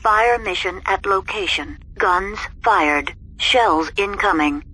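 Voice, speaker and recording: A woman's voice: medium pitch, takes it slowly, sharp tone, slightly rough, exaggerated voice, low-quality recording. A woman playing an impassive military announcer giving terse, formal battle status reports in a video game.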